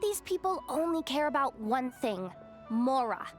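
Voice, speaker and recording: A sharp-tongued young woman with a high, clear voice who talks in a dry, commanding, sarcastic way. Game music plays faintly behind her. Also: sing-song, unhurried. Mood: sardonic.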